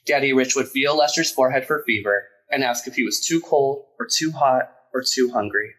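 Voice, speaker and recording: A man reading a children's picture book aloud as a storyteller, in an expressive, deliberate way with emphasized words. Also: medium pitch, low-quality recording. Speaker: storyteller, drag performer.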